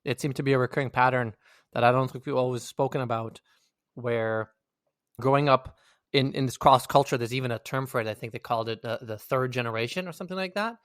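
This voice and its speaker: A man's voice: medium pitch, fast, no echo, studio quality. A young man hosting a podcast, asking long rambling questions in a chatty, friendly way. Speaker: podcast host. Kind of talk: casual interview talk.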